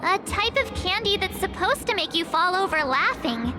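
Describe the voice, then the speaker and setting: A woman's voice: very high voice, animated, normal speed. A woman voicing a perky, easily flustered teenage girl adventurer in a chatty video game scene. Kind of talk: video game story dialogue. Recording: loud music behind.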